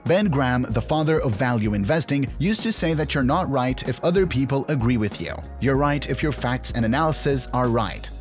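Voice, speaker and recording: A man reading a scripted explainer narration in a measured, instructional manner. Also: medium pitch, lively intonation. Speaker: video narrator. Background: background music.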